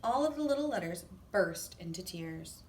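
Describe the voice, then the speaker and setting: A woman reading a children's story aloud in an expressive, deliberate way. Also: fairly low pitch. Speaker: storybook reader. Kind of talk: children's read-aloud narration. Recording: echoey room, distant mic, faint noise.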